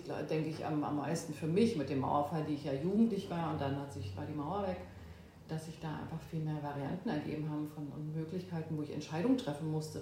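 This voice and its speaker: A woman in her fifties from Berlin, a guest in an interview, who talks thoughtfully with pauses and fillers. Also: low-pitched, warm tone, a little hiss or hum. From Berlin, Germany. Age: 50s. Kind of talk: oral history interview. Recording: echoey room.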